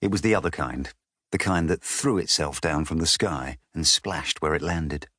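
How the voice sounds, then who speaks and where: A man's voice: a bass voice, normal speed, sharp tone, dry recording, studio quality. A man narrating a children's storybook as a scripted audiobook.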